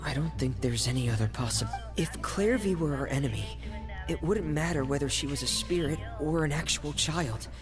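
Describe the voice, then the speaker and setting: Give me a man playing a reserved young man who speaks softly and hesitantly. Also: fairly high pitch, close to an everyday voice. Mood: guarded. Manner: soft, hesitant, halting. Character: shy reserved young man, quiet and guarded. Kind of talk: video game cutscene voice acting. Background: game music.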